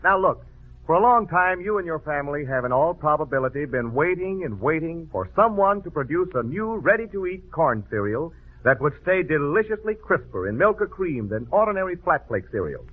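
A man's voice: very animated, moderate pace. A man announcing in 1940s radio commercial style, selling emphatically in a folksy way. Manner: emphatic, folksy sales pitch. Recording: tinny vintage radio broadcast.

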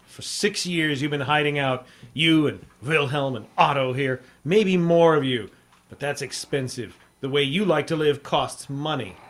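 A man's voice: fairly high pitch, unhurried, a bit bright. A hard-boiled American newspaperman. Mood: sardonic, assured. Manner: hard-boiled, clipped, wry. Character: tough, sardonic American newspaperman hero and narrator. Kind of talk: 1950s radio detective drama acting.

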